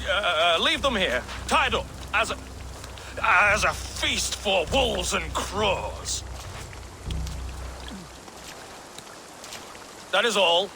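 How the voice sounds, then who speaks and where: An actor playing a nervous, bumbling former monk posing as a lord in a video game, with a halting stutter and clumsy bluster. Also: male voice, high voice, pushed and bright, slight background noise, full character voice. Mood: flustered bluster. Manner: blustering, halting, self-doubting. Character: nervous, bumbling peasant-born runaway monk posing as a thane. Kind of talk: video game character acting.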